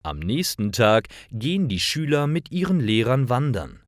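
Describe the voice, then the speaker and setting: A man reading simple stories as a narrator for language learners, in a deliberate and expressive storytelling style. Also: mid-range voice, dry recording, clean studio sound. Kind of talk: scripted storytelling narration, read slowly for learners.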